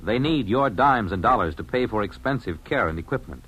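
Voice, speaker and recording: Husky 1950s American radio announcer man. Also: on the low side, animated, fairly quick, a bit bright, poor audio. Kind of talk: scripted 1950s radio announcing and charity appeal. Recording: vintage 1950s radio broadcast.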